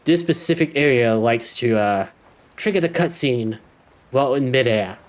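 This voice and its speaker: A video game streamer talks casually through a playthrough, reading text aloud along the way. The pitch sits in a middle range, and quiet game music runs underneath. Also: lively intonation, normal speed. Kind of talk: casual gameplay commentary, reading dialogue and narration aloud.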